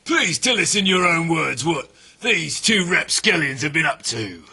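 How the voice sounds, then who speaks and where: An actor playing a smug, mock-polite Cockney prosecutor in a comedy sketch, taunting and theatrical. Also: male voice, fairly high pitch, sing-song, unhurried, vocal fry, sharp tone, soft background music, exaggerated voice.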